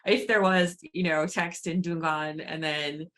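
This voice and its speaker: Bright-voiced woman moderating online. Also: low voice, fairly even intonation, measured pace. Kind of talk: online academic Q&A. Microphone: video call.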